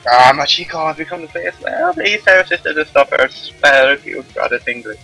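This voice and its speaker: A man's voice: fairly high pitch, animated, a little fast, gravelly, sharp tone, slightly rough, full character voice, rough recording. A man voicing a stern, exasperated older-sister spirit woman while reading a visual novel aloud. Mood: stern, scolding. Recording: music behind the voice.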